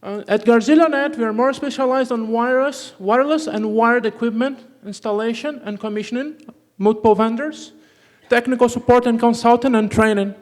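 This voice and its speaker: A high-voiced man with a Brazilian Portuguese accent gives a technical conference talk. He often breaks off mid-word, restarts, and tags sentences with "okay". His voice carries the long reverb of a conference hall. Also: fairly even intonation, a little fast, a little mellow. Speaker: conference presenter.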